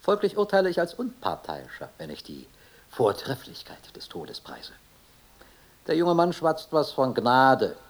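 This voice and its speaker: An actor playing a cold, pedantic, philosophizing officer in a German radio play, speaking in a precise, sardonic, oratorical manner. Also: male voice, mid-range voice, huge pitch swings, a little slow. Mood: cold, reasoning.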